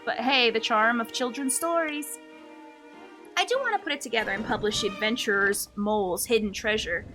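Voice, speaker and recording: A woman's voice: on the high side, moderate pace, over music, lightly acted. A woman voicing a genial, earnest, book-loving publisher in a video game. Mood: wistful, genial.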